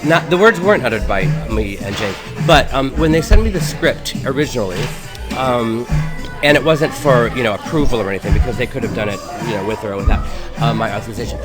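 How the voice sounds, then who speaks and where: A man co-hosting a casual talk show, reminiscing in a halting way with repeated phrases and restarts. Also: mid-range voice, animated, loud music behind the voice. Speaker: co-host of a web talk show.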